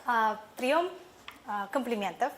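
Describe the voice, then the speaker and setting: A woman's voice: fairly high pitch, lively intonation, slow pace, fairly bright tone, slight background noise. A young woman whose first language is Buryat, a teacher answering jury questions thoughtfully with fillers and restarts. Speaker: teacher competition contestant.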